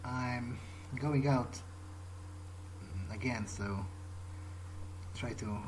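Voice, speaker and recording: A man roleplays through a game, thinking aloud casually. His voice is mellow and medium in pitch, with halting, musing phrasing over faint noise. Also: poor audio. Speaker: gamer roleplaying in character. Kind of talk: casual in-character let's play monologue.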